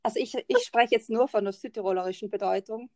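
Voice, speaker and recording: A woman's voice: mid-range voice, steady tone, moderate pace, darkish tone, no room sound, studio quality. A woman from South Tyrol with a South Tyrolean German accent, a guest author chatting casually in an interview, laughing often at her own remarks. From South Tyrol, northern Italy.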